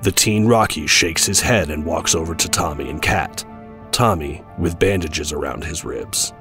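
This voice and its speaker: A man's voice: extremely low pitch, normal speed, sharp tone, soft background music. A man reading scripted narration for an audio drama.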